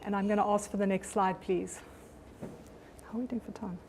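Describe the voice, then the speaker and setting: A woman's voice: medium pitch, level tone, fairly quick, a little mellow, a little hiss or hum. A middle-aged woman with a South African accent giving a conference presentation in a loose, conversational way, with frequent ums and restarts. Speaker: conference speaker. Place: conference room.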